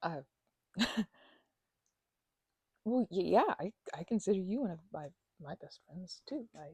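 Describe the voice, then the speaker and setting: A woman's voice: lowish voice, animated, measured pace, darkish tone, slightly rough, dry recording. A woman performing a one-sided ASMR roleplay as a chatty, affectionate housemate, talking casually in a playful, teasing, stammering way. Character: chatty affectionate female housemate recovering from migraine.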